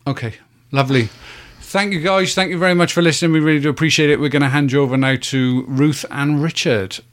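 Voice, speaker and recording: A man's voice: fairly high pitch, steady tone, quick pace, fairly bright tone. Guy hosting a podcast, rambly, ums everywhere, jokey. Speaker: podcast host. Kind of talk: casual chat.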